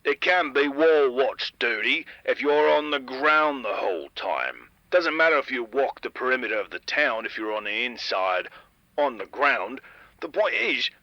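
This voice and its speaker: A man's voice: medium pitch, expressive, moderate pace, pushed and bright, no echo, highs cut off. A man playing a stern, exasperated, dutiful security chief making announcements in a radio drama. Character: gruff, dutiful male town security chief. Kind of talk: scripted radio audio drama, public announcement.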